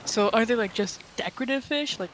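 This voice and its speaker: A man's voice: extremely high pitch, a little fast, fairly bright tone, a little hiss or hum. A man chatting casually as a co-commentator on a game, asking curious questions. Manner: inquisitive. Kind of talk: casual chat.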